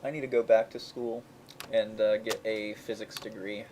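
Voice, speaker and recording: A man's voice: on the low side, flat delivery, normal speed, a little mellow, slight background noise, poor audio. A young man, a game developer, rambling in casual chat, often restarting his sentences and laughing at himself. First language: English.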